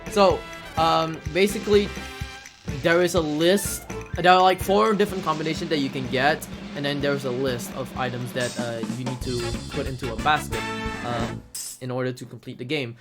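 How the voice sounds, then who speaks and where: A man doing casual live speedrun commentary, explaining the game in halting, hesitant phrases. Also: medium pitch, steady tone. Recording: game music behind the voice. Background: video game music.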